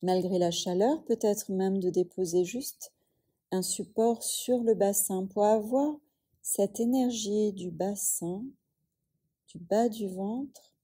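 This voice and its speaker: A woman yoga teacher leading a guided relaxation in a soothing, unhurried way, leaving long pauses between phrases. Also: mid-range voice, dark tone, slightly rough.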